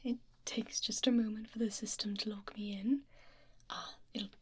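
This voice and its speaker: A woman voicing a calm, courteous female doctor in an ASMR roleplay, soft and half-whispered, reassuring and unhurried, close to the microphone. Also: mid-range voice, slightly rough. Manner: unhurried, reassuring, murmuring little acknowledgements. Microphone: close binaural ear microphone.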